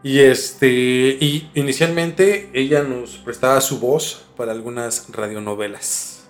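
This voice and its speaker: A man's voice: medium pitch, slow pace, soft background music. A man hosting a mystery podcast in a conversational presenting style. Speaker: podcast host.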